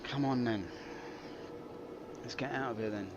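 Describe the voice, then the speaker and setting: A man's voice: medium pitch, unhurried, lo-fi sound, heavy background noise. A man streaming live gameplay, grumbling wryly and poking fun at himself as he plays. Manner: grumbling, wry and self-mocking. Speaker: game streamer. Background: game music.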